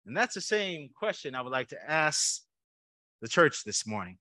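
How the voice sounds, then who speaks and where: A man's voice: on the high side, sing-song, normal speed, sharp tone, no echo. A man preaching a conversational sermon to his church, asking the congregation questions and adding light jokes. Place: church sanctuary.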